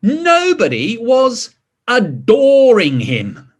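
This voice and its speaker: A man reading a picture book to children, expressive, drawing out words and adding chatty asides and questions. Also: very high voice, extremely slow, slightly rough. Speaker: story reader.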